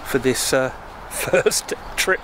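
A man's voice: mid-range voice, extremely slow. A man in his fifties from England, chatting casually and rambling to a handheld camera while walking around a country churchyard. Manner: chatty, rambling, self-deprecating asides. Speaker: travel vlogger. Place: outdoors by a roadside country churchyard. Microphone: handheld selfie camera at arm's length. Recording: traffic noise and wind outdoors. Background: passing traffic and wind.

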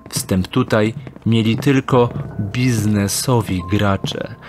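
A man narrating an audio drama in a measured, descriptive way. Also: fairly low pitch.

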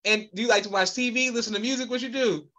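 A man's voice: very high-pitched, fast, pushed and bright, a little hiss or hum. A man hosting a podcast over a video call, upbeat and polite, often saying yes sir. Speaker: podcast host. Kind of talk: casual interview. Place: home room with poster wall.

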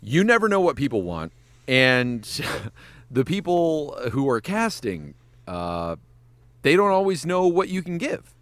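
A man's voice: a little high, big pitch swings, a little slow, no room sound. A man, a voice actor and animator, giving casual podcast advice, swearing freely and breaking into voice demonstrations.

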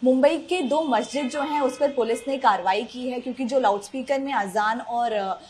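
A woman's voice: high voice, moderate pace, a little mellow, husky. A young woman interviewing as a television news reporter. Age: young adult. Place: small indoor office. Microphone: handheld reporter's microphone.

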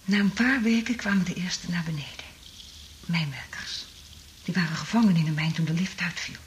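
An actress in a radio drama playing a traumatised woman survivor, half-mad from isolation, speaking in an anguished, halting, dramatic way. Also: lowish voice, slight background noise, close to an everyday voice. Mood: haunted recounting.

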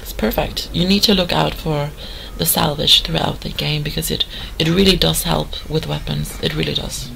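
A hoarse woman giving chatty, rambling commentary over a game she plays. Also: sits low, expressive, normal speed, few pauses, fairly bright tone, slight background noise, poor audio. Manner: chatty, rambling, full of fillers. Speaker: gamer. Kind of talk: let's play commentary.

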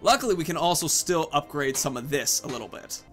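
Male gaming host, thirties, slightly high voice, casual play-by-play reasoning over faint music. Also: expressive, fairly quick, fairly bright tone. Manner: thinking aloud, explaining choices. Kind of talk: casual let's play commentary. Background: game music.

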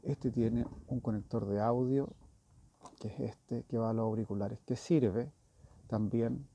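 Gravelly, mellow man reviewing gear. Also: fairly low pitch. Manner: informal, thinking aloud, checking with "¿ya?". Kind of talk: casual hands-on product review.

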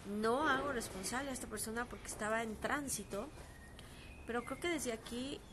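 A woman in her thirties with a Mexican accent talks casually and earnestly into her phone on an outdoor terrace. She is hoarse from a sore throat and dry cough, and she pauses often with fillers. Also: fairly high pitch, noisy background. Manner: earnest, halting, with frequent fillers. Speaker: actress streaming live. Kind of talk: casual live-stream talk. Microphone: phone at arm's length.

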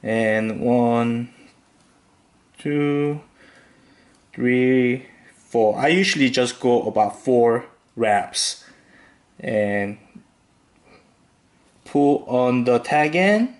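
A man hosting a fishing tutorial, calmly talking through each step with occasional fillers as he ties knots. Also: medium pitch. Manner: slow, relaxed instructional talk with fillers. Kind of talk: step-by-step demonstration voice-over.

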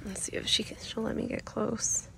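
A woman in her thirties giving a casual, explanatory homestead vlog, unhurried and pausing mid-sentence. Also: on the high side, little pitch movement, gravelly. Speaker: homesteading vlogger. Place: outdoors in a covered chicken run and coop. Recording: outdoor ambient noise.

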